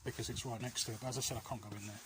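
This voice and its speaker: Man rambling over clear outdoor noise. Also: mid-range voice, steady tone, racing. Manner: rambling, often losing his thread. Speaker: vlog host. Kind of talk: casual advice chat to viewers while walking. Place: outdoors, walking along alleys. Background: outdoor ambient noise.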